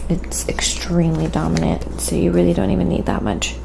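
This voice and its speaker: A woman with a lowish, noticeably creaky voice speaks softly, at times nearly whispering. She chats casually in a quiet ASMR style as she teaches painting on a live stream, with clear background noise in the recording. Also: lively intonation, fairly quick. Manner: soft-spoken, sometimes dropping to a whisper.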